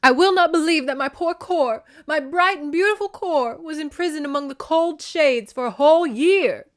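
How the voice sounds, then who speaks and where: A woman's voice: on the high side, lively intonation, moderate pace, a bit bright. Woman acting a distraught goddess mother, emphatic and dramatic. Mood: wailing.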